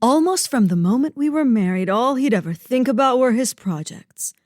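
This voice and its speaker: Lady playing a rich dramatic widow in a radio noir, high voice, swoopy and swoony, pretty dry sound. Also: expressive, normal speed, darkish tone, no room sound, natural voice. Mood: defensive, wistful. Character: wealthy melodramatic widow, sultry and self-pitying. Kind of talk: parody of old-time radio detective drama.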